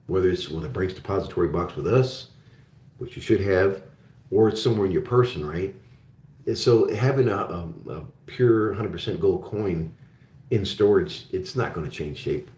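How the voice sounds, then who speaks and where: Man, livestream host, casual chat, reads viewer questions, lowish voice, echoey room. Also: expressive, normal speed, a little mellow.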